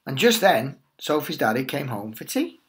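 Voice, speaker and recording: A middle-aged man, a headteacher, reading a picture book aloud to young children in an expressive, reassuring way. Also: medium pitch, moderate pace, slight background noise.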